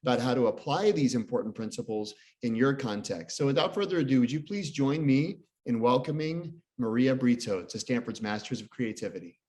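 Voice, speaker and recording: A man's voice: mid-range voice, lively intonation, fairly quick, hardly any pauses, a little mellow. A man speaking as host, introducing a guest speaker at a talk.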